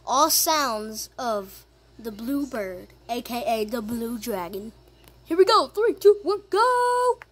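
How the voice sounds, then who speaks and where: A high-voiced video creator presenting a homemade vlog in an informal, hesitant way. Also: big pitch swings, slow pace. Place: family home.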